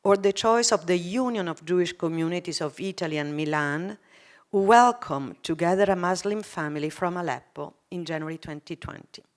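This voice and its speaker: A woman giving a prepared talk on a conference panel. She speaks in a measured, formal way, with some non-native phrasing. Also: lowish voice.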